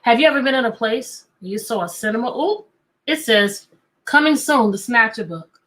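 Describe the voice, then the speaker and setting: A woman teacher reading a picture book aloud to children, animated and coaxing, often repeating questions to draw out answers. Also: fairly high pitch, normal speed. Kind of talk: interactive children's read-aloud.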